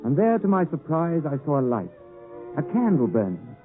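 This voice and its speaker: Impassioned young gentleman in old radio drama. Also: a little high, wildly swooping pitch, moderate pace. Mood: haunted, reflective. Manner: theatrical, emotive. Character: earnest, passionate young English gentleman narrating his story. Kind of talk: 1950s radio drama acting and narration. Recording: vintage 1950s radio, tinny, music behind.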